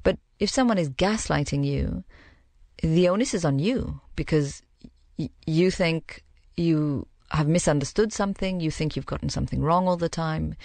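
A woman who grew up in the Middle East, giving a reflective, measured personal account in an interview and pausing to find her words. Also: low voice, darkish tone, no echo.

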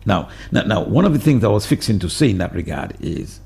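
A male radio host with a low, husky voice that has a gravelly edge. He asks questions and steers the discussion in a measured way, now and then turning to take callers. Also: very animated, darkish tone. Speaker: radio talk show host. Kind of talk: call-in radio talk.

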